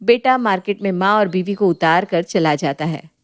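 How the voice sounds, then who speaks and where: A woman storyteller narrating a scripted family story in a lively, colloquial style. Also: medium pitch, normal speed.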